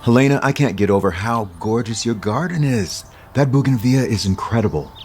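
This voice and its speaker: An actor playing a gentle, earnest, considerate man in an audio soap opera. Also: medium pitch, lively intonation, a little fast, slight background noise, close to an everyday voice. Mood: gentle, gracious.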